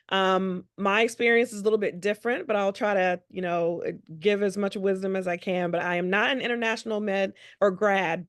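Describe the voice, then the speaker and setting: A middle-aged American woman introducing herself casually as a panelist, modest and halting. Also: mid-range voice, no room sound, clean studio sound. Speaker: panelist, family physician.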